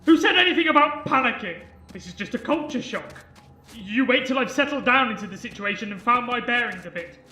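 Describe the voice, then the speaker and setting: A high, bright, flustered stowaway, played by a woman, recorded in an echoey room. The delivery is sardonic and aggrieved, a hapless complainer in a comic radio play. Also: expressive, fairly quick. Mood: aggrieved, sarcastic. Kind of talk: comic amateur radio drama acting.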